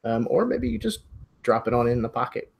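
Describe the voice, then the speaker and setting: A man with a medium-pitched, husky voice runs a tabletop mystery game. He narrates conversationally as game master, describing scenes and laying out choices for the players. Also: big pitch swings, brisk, dull audio.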